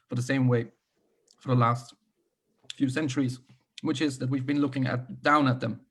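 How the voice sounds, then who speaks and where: A man opening an online panel discussion while sick, his voice husky and frayed. He talks with many fillers and restarts, and makes dry, self-deprecating remarks about his fading voice. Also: medium pitch. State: sick, losing his voice, coughing. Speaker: event co-host. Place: video call.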